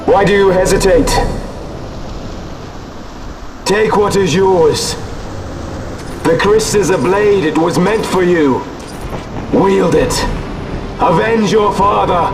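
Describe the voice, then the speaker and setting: An actor voicing a menacing, bitter villain in a video game, goading and declaiming in a theatrical, commanding manner. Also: male voice, high-pitched, takes it slowly, pauses often. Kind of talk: dramatic video game voice acting. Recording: slightly distant voice under loud music.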